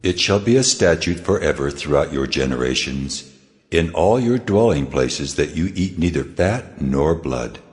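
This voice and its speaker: The Lord speaks in a very low, booming tone as a solemn, commanding divine voice. A long, cavernous reverb trails after every phrase. The delivery is slow and proclaiming. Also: male voice, lively intonation. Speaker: voice of God. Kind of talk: dramatized scripture reading.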